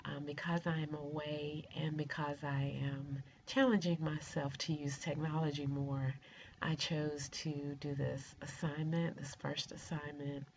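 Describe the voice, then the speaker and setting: A middle-aged woman with a raspy, congested voice from a cold, introducing herself informally and haltingly. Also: deep voice, flat delivery, a little mellow. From rural Georgia, United States. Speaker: doctoral student introducing herself. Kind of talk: informal self-introduction to an online class. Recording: muffled lo-fi video, faint noise.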